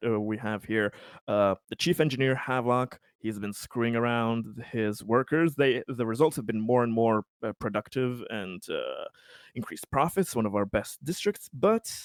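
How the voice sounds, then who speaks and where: Halting man at a tabletop roleplay. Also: mid-range voice, dry recording. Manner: halting, hesitant delivery with trailing phrases. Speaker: tabletop roleplaying game player. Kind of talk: casual tabletop roleplay and out-of-character explaining.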